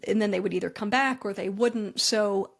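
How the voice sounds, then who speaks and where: A woman talks through her career as a guest on a podcast. She is chatty and self-deprecating, with quick wry asides. Her pitch sits in the middle range. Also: creaky. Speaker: guest, comedy writer and speaker. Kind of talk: conversational interview answers.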